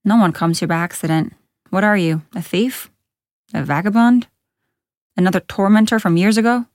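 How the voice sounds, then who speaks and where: A woman voicing a towering, bitter man in a storybook, with a gruff growl and brusque, old-fashioned, foreboding speech. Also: medium pitch, moderate pace, no echo, clean studio sound, lightly acted. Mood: suspicious, hostile.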